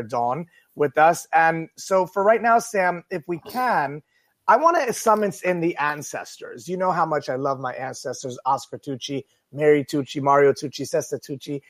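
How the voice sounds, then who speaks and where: A man's voice: on the high side, no echo. A man hosting a radio talk show, chatting casually and earnestly to listeners in a rambling, unscripted way that repeats phrases for emphasis.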